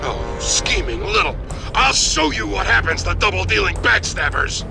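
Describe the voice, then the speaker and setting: A shifty, blustering mercenary colonel for a video game. His voice is high and gravelly and swings wildly as he sputters, with very loud music behind. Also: big pitch swings, normal speed, full character voice. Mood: angry, panicked. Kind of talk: scripted game voice acting.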